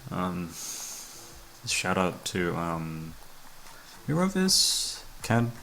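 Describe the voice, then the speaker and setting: Low-voiced young man rambling casually. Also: big pitch swings, very slow, vocal fry, slight background noise, poor audio. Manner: hesitant, sweary, self-deprecating. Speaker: voice actor, channel creator. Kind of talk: casual rambling chat to listeners. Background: soft background music.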